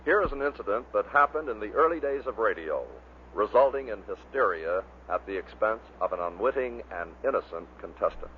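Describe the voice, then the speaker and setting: A man's voice: fairly low pitch, animated, brisk. A man giving scripted narration as the presenter of a compilation. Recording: muffled, noisy vintage radio recording.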